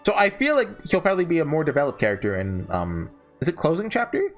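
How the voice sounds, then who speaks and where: Male video host, lightly high-pitched and very lively in intonation, for off-the-cuff ranking commentary with a rambling, self-correcting delivery. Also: fast, faint music behind. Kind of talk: casual unscripted tier-list commentary.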